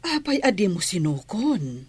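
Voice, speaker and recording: A woman acting a concerned older female relative who advises gently in an Ilocano radio drama. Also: mid-range voice, big pitch swings, measured pace, slightly rough.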